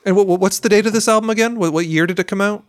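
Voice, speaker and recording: A man co-hosting a casual podcast, rambling and animated as he talks about albums, with plenty of fillers. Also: high-pitched, racing, dry recording, clean studio sound.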